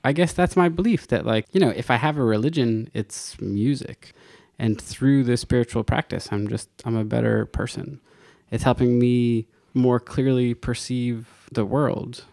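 A man's voice: medium pitch, moderate pace, gravelly, a little mellow, no room sound, clean studio sound. A middle-aged man, a singer and guitarist, talking thoughtfully about his song in a casual interview.

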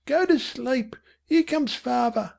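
A man's voice: extremely high pitch, expressive, slow, creaky, darkish tone, pitched above the performer's own voice, exaggerated voice. A man voicing an anxious working-class London mother, whimpering and pleading. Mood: fretful.

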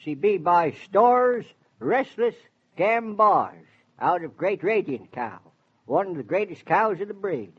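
A man playing an excitable, proud old farmer with a folksy dialect in a 1940s radio drama. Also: sits high, unhurried, breathy edge, full character voice. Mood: proud, boastful. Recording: muffled 1940s radio recording.

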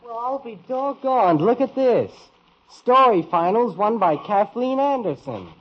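A brash teenage American son in an old radio comedy. The voice is high and offhand, with slangy, nosy cheek. The recording sounds dull and aged. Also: male voice, animated, measured pace, heavily acted. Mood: reading aloud. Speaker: actor in radio sitcom. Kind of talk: old-time radio sitcom acting.

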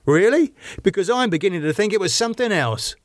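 A man's voice: on the high side, very animated, moderate pace, a bit bright, no room sound, studio quality, pitched above the performer's own voice. A man reading an audiobook, voicing an agitated, defiant man who sounds haunted and bitterly sarcastic. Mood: angry, accusing. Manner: stammering, sweary, snapping outbursts. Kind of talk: audiobook character dialogue.

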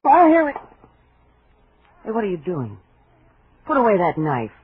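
An actress in a 1940s radio drama playing a chatty, wary young vaudeville dancer, with an American accent and a halting, slangy way of talking. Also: female voice, medium pitch, wildly swooping pitch, slightly rough, exaggerated voice. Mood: wary, annoyed. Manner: halting, breezy, slangy show-business talk. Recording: muffled, noisy 1940s radio recording.

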